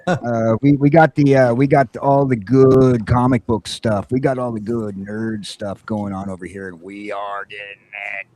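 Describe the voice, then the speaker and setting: A man hosting a casual morning talk show, loud and excitable, hollering greetings and whooping between lines. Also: lowish voice, normal speed, hardly any pauses. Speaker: host.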